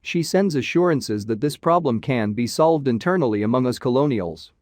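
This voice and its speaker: A pragmatic spacefaring adventurer for a sci-fi game, voiced by a man. He has an ordinary mid-pitched voice and talks in a casual, direct, slightly dry way. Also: lively intonation, moderate pace, a little mellow, no room sound, lightly acted. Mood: earnest. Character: adult human space pilot, pragmatic, wry adventurer.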